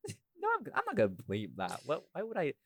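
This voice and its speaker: A man's voice: medium pitch, wildly swooping pitch, fairly quick, no room sound. Irreverent man chatting, close dry sound. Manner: irreverent, swears casually. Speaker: podcast host and voice actor. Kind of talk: casual podcast chat, behind the scenes.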